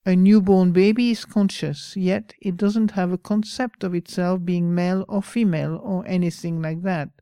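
A woman with a French accent giving a slow, pausing dharma talk as a meditation teacher. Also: on the low side, steady tone, warm tone, clear, resonant tone, dry recording, studio quality.

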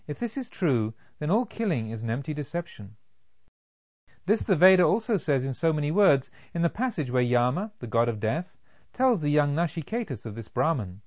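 A man narrating a literary audiobook in a measured, formal, expository way. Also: a little high, sing-song, a little mellow, dull audio.

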